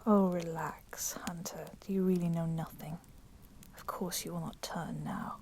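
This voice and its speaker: A woman voicing a proud, resentful female vampire in a whispered ASMR roleplay, speaking softly and deliberately in formal, menacing phrases. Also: lowish voice, steady tone. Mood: disdainful. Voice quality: soft, breathy whispering. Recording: constant crackling fire noise behind voice. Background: crackling fire.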